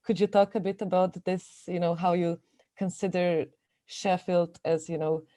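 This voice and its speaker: A woman's voice: on the low side, level tone, normal speed, mellow. A woman whose first language is Slovenian, interviewing an author in English and searching for her words. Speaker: translator interviewer. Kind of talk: interview questions.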